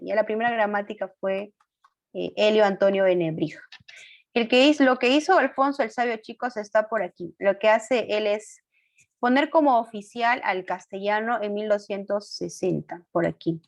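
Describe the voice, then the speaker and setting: A woman teacher leads an online class through quiz answers, her pitch sitting in an ordinary middle range. She keeps asking the students questions and nudging them toward the answer, confirming with short hums, and stops to correct her own mistakes with an apology. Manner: questioning and coaxing students, fillers like "ujú"; corrects herself aloud, apologetic.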